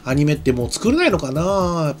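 A man's voice: a little high, very animated, a little fast, music in the background. A middle-aged man co-hosting an anime talk show, commenting casually into a desk microphone in a small studio. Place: small indoor studio set.

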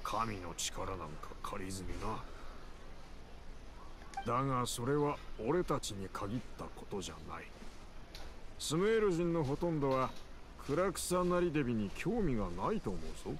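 An actor playing a worldly, nonchalant mercenary in a Japanese video game, casual and rough-spoken as he explains things. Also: mid-range voice, sing-song, moderate pace, noisy background, lightly acted. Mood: matter-of-fact. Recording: game soundtrack music behind voice.

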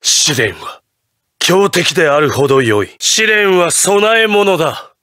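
An actor playing an imperious, ruthless patriotic president in a Japanese anime fighting game, with grandiose, theatrical declarations. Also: male voice, fairly high pitch, big pitch swings, slow pace, pushed and bright, no room sound, studio quality, full character voice. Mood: ruthless, resolute.

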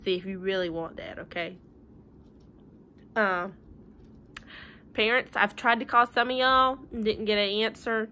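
A young woman talks like a teacher to small children, plain-spoken and folksy. Her voice is bright and forward, at a middling pitch. It is recorded at home on a device mic with slight background noise. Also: steady tone, unhurried, long pauses. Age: young adult. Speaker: schoolteacher. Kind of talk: informal talk to young pupils and parents. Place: living room at home.

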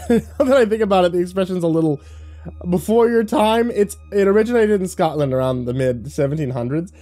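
Man doing a high snide teen boy. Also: a little fast, mellow, faint music behind, natural voice. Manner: mocking, laughing, rambling. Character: snide teenage boy, mocking and cocky.

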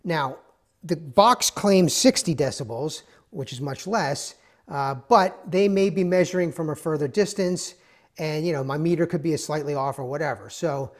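A man's voice: on the high side, moderate pace. A man giving a casual, rambling tool review in a garage workshop, with many fillers and restarts. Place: indoors, garage or workshop with concrete floor.

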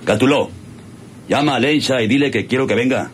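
A man playing a scornful older ranch boss, a villain with a gruff, commanding manner and a Mexican accent, in a radio drama. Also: medium pitch, brisk, close to an everyday voice. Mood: scheming. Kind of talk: radionovela acting. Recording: noisy old radio serial recording.

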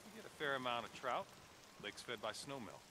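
An actor playing a shrewd survivalist camp leader in a video game, speaking in a folksy, clipped, deliberate way. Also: male voice, medium pitch, very animated, close to an everyday voice. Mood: grim, matter-of-fact. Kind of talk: video game cutscene acting. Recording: rain and ambient noise behind.

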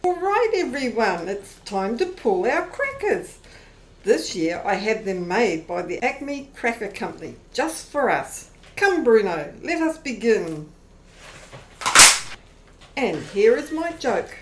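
A woman's voice: on the high side, sing-song, slow pace. A woman playing a sociable vampire hostess in an amateur radio play. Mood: hostly, jolly. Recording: echoey room, faint noise.

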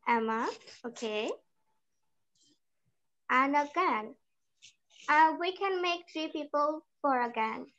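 A woman's voice: high-pitched, slow pace, pauses often, bright, forceful tone. A teenage girl with a Bangladeshi accent hosting an online group reading over a video call. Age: teens. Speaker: session host. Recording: dull video-call audio.

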